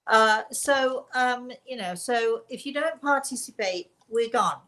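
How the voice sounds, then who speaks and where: A woman's voice: fairly high pitch, measured pace, fairly bright tone. Informal, emphatic woman host. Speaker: host of a spiritual story and question show. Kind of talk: casual chat with guest and viewers.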